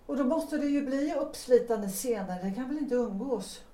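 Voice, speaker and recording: Picture an actress voicing an intense, pleading married woman in a Swedish audio drama. Her tone is mellow and dark, and she sounds set back from the mic in a roomy space. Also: on the high side, animated, distant mic, natural voice. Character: unhappily married woman pleading with young lover.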